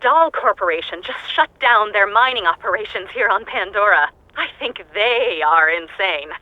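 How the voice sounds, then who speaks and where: A woman's voice: medium pitch, animated, normal speed, close to an everyday voice. An actress voicing a lonely, unhinged woman scientist who is recording audio logs alone on a hostile planet. She speaks in a dry, clipped, detached way that drifts into manic glee. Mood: erratic. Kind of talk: game audio log monologue. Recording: dull lo-fi game audio log.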